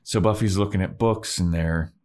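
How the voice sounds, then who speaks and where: A young man co-hosting a casual podcast, recapping a show in a relaxed, rambling way and acting out bits of dialogue. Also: deep voice, normal speed. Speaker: podcast co-host. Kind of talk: casual chat.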